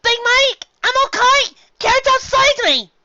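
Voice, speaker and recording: A reader voicing a young filly in a fan storybook reading, with a squeaky, eager delivery. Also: a little slow, highs cut off, very noisy. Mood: excited. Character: little filly, high-pitched and excitable.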